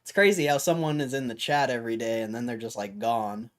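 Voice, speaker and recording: Young man, hoarse voice, livestream host, casual rambling, frequent throat clearing, mid-pitched. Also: brisk. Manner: loose, rambling, talks to chat, keeps clearing his throat. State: hoarse, losing his voice.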